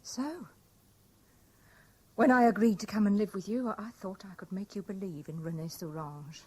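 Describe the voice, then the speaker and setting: An actress with a British accent playing a defiant, pleading young lover, confessing emotionally in a radio drama. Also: female voice, medium pitch, moderate pace, warm tone, husky, natural voice. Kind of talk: BBC radio drama acting. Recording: hissy 1970s radio broadcast recording.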